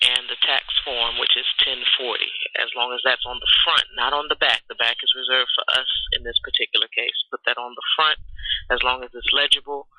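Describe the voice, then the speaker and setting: A man's voice: mid-range voice, background noise. A man working as a tax agency phone agent, giving patient, procedural step-by-step instructions over a telephone line. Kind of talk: customer service phone call. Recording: telephone call audio.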